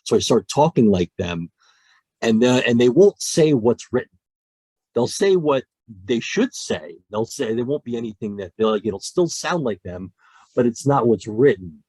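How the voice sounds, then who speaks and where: A man's voice: mid-range voice, normal speed, breathy edge, dry recording. A man, a voice actor, chatting on a podcast over a call, talking with passion and often restarting his sentences. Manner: animated, many restarts, passionate about storytelling. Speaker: guest voice actor. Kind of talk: casual podcast conversation.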